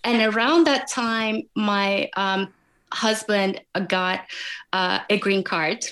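A woman from Turkey with a Turkish accent, a podcast guest telling her story in a measured, reflective way. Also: medium pitch, steady tone, fairly bright tone, smooth. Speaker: guest, wound healer and coach. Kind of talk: interview answers.

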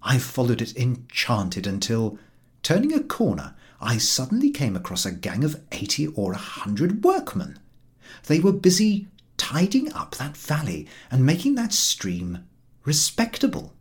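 A man narrating a humorous book as an audiobook reader, in an expressive, measured storytelling manner. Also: medium pitch.

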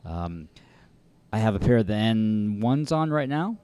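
A man's voice: fairly low pitch, sing-song, measured pace, warm tone, clear, resonant tone, no echo. A man co-hosting a podcast, talking casually and frankly about trail running shoes, laughing easily. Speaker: podcast co-host.

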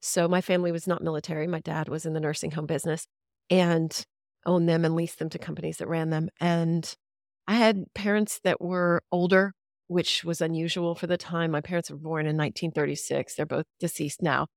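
A woman's voice: low-pitched, steady tone, brisk, no echo, studio quality. A woman in her fifties from Central Texas, a longtime radio voice, telling her life story in a reflective, chatty way as a podcast guest. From Central Texas, USA. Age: 50s. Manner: reflective, chatty, with easy laughs. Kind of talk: casual interview storytelling.

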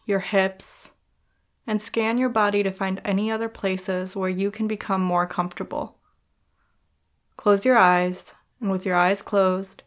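Female yoga nidra guide, mid-pitched voice, soothing and measured, with gentle pauses. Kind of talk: guided meditation narration.

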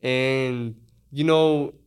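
A man's voice: mid-range voice, steady tone, very slow, clean tone. A man in his early twenties chatting casually as a podcast host, candid and slangy with frequent swearing. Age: early 20s. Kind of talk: casual conversational interview.

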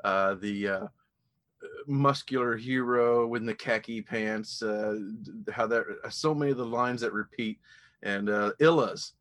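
A man's voice: mid-range voice, measured pace, a bit bright. A man hosting a casual interview, enthusiastic and easily amused as he talks with his guest. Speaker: podcast host, interviewer.

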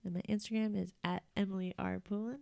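A woman's voice: medium pitch, level tone, fast, creaky, a little mellow, clear, resonant tone, no room sound. A woman guesting on a comedy podcast, chatting casually, giggly and hesitant with many fillers.

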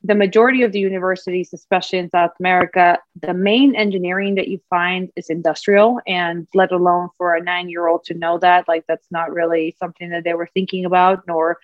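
Low-voiced woman coach, dull dry recording. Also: fairly even intonation, fairly quick, few pauses, no room sound. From Peru. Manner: earnest, reflective, with restarts. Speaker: career and executive leadership coach. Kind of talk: conversational interview answers.

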